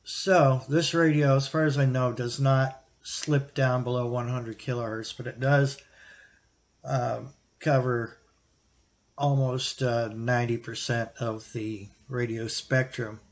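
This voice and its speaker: A man with a mid-pitched voice talks through a product recommendation as a hobbyist reviewer. He explains things informally and thinks aloud as he goes. His phrasing is halting and broken into short pieces. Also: flat delivery. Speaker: YouTube radio hobbyist and reviewer.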